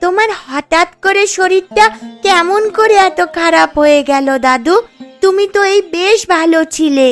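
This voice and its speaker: A woman's voice: extremely high pitch, moderate pace, faint music behind. A woman voicing a young, devoted granddaughter in a cartoon story, anxious and pleading in manner. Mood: worried, pleading. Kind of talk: Bengali cartoon story dialogue.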